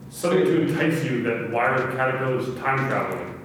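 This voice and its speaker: A man's voice: medium pitch, animated, brisk, background noise. A man giving casual, reflective answers as an interview guest. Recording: echoey hall, distant, faint music.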